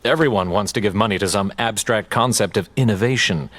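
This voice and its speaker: A man's voice: lowish voice, fairly quick, fairly bright tone. An actor voicing a serious, earnest male crewmate scientist who lectures on science funding.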